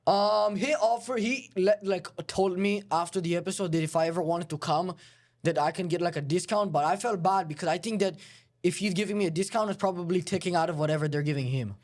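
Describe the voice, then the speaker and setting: A young man hosting a comedic interview with a deadpan, provocative delivery. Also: sits high, a little fast. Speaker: host.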